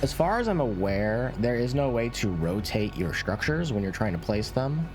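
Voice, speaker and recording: A man's voice: medium pitch, sing-song, moderate pace. A man hosting a gaming video, explaining things in informal, casual gameplay commentary. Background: game music.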